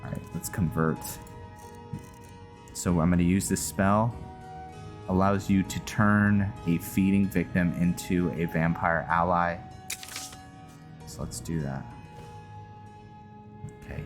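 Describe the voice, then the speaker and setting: A man hosting a roleplay game playthrough, giving casual, low-key commentary as he thinks aloud. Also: steady tone, slow, pauses often. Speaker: gaming video host. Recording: loud game music behind the voice. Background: video game music.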